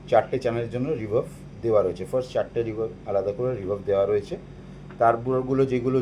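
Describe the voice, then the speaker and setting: A man's voice: mid-range voice, mellow, a little hiss or hum, low-quality recording. A man with a Bengali accent giving an informal step-by-step equipment walkthrough, explaining and mixing in English technical terms. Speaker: presenter demonstrating audio equipment.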